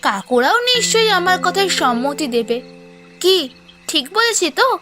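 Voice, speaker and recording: A woman playing an eager, sweet-talking listener who coaxes a storyteller in an animated audio drama style. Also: very high-pitched, measured pace, music in the background, heavily acted. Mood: eager, coaxing.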